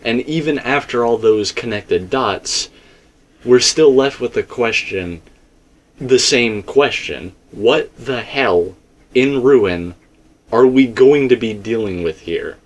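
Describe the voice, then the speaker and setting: A man's voice: medium pitch, takes it slowly. A man hosting a casual, speculative discussion, talking in a rambling, stop-and-start way and often correcting himself. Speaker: host of a game theory discussion.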